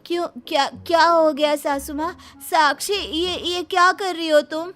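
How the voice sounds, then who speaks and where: Woman acting a gentle, high-voiced elder daughter-in-law. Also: fairly even intonation, a little slow, soft background music. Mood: alarmed. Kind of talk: comic moral-story acting.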